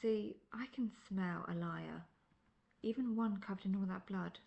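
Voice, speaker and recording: Woman performing a world-weary female monster hunter, mid-pitched, gravelly fry, formal phrasing, dry condescension, fantasy audio drama. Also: muffled recording. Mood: cool, warning. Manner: measured, formal, dryly condescending. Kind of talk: fantasy roleplay audio acting.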